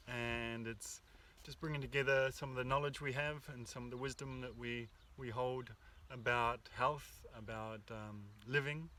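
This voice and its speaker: A man co-hosting a workshop, talking in a calm, reflective and hesitant way, recorded outdoors in the countryside. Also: mid-range voice, distant mic. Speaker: workshop co-host. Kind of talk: casual spiritual talk. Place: outdoors in the countryside under an oak tree.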